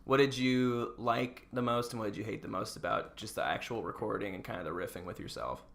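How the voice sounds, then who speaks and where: A man's voice: on the low side, brisk, gravelly, a bit bright. An American man co-hosting a podcast, giving casual feedback in an encouraging, informal manner. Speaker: podcast co-host.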